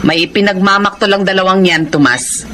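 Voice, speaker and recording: A woman's voice: medium pitch, normal speed, fairly bright tone, slight background noise, natural voice. A woman acting a demanding, discontented middle-aged wife and mother in a radio drama, with a Filipino accent. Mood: teasing.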